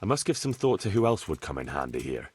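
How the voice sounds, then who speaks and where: Video game role: eager, courteous young bailiff, conversational, inquisitive, with very varied intonation. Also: male voice, deep voice, very animated, fast, breathy edge, heavily acted. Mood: curious, practical. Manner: polite, questioning, conversational. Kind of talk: video game cutscene dialogue.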